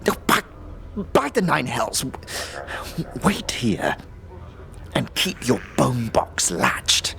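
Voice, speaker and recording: A man's voice: medium pitch, wildly swooping pitch, takes it slowly, creaky, long pauses, sharp tone, slight background noise, full character voice. A man playing a nervous, evasive male bureaucrat who stammers and gets flustered in a fantasy audio drama. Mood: irritated.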